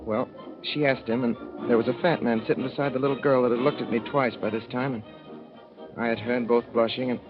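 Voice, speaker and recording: A man's voice: mid-range voice, steady tone, brisk, close to an everyday voice. An actor playing a naive young man from the Midwest who rambles through his story in a folksy, boyish way, on an old radio play. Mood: earnest. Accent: Midwestern American. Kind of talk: 1930s radio drama narration. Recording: dull 1930s radio broadcast with music.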